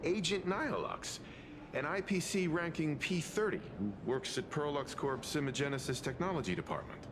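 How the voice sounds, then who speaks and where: A man's voice: fairly high pitch, animated, moderate pace, background noise, lightly acted. A man voicing a matter-of-fact informant who explains company details in a video game. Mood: explanatory.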